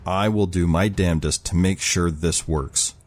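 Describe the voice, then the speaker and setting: A man acts out a hardened ex-military leader in an audio drama. His voice sits very low, with a stern, commanding and formal delivery. Faint music runs behind him. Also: normal speed. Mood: solemn resolve. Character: grim, commanding ex-military male leader, resolute and irritable.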